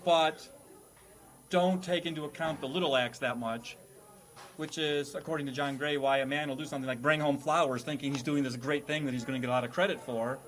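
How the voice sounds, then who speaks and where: Mock-formal male game host, higher-pitched voice. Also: fairly even intonation, fairly quick, a bit bright. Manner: mock-formal, teacherly, jokey. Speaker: host playing teacher of a comedy panel game. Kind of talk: comedic classroom quiz hosting.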